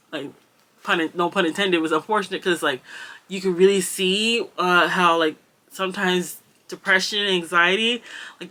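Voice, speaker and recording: A woman's voice: lowish voice, pushed and bright. A young woman with a slight Jamaican accent, chatting casually through a book wrap-up and rambling with lots of ums and likes. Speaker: BookTuber.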